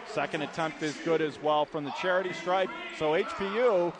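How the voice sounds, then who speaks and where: A man's voice: a little high, normal speed, crowd noise. A man calling live radio basketball play-by-play in clipped running phrases, punching up the big plays. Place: indoor college basketball arena. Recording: noisy courtside gym broadcast. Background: gym noise, bouncing basketball.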